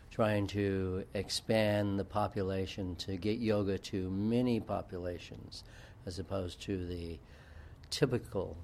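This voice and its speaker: A middle-aged man talks slowly and hesitantly in a very deep voice, with many pauses. A faint hum of background noise sits behind him. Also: darkish tone. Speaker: yoga studio owner. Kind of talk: interview answers.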